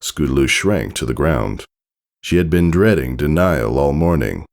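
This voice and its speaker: Male storybook narrator, very low pitch, vocal fry, scripted reading. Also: expressive, measured pace, a little mellow. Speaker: fan fiction narrator.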